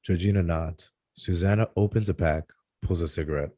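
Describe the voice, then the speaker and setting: Man, low dark voice, scripted narration, halting read-aloud, close dry sound. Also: dry recording. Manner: halting read-aloud with stumbles over words. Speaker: stage-direction narrator.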